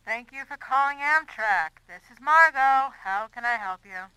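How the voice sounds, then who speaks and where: A woman's voice: a little high, expressive, normal speed, pushed and bright, slight background noise, low-quality recording. A woman playing a chirpy, helpful telephone booking agent in an audio drama, with polished customer-service delivery.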